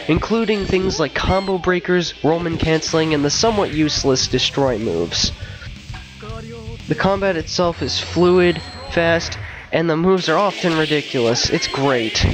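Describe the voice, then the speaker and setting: A young man talks through a stuffy, congested nose while getting over a cold, his voice sitting a little high. He reads a scripted review in an easygoing, slangy, excited way. Loud metal music plays under him. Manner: casual, slangy, enthusiastic. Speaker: video game reviewer.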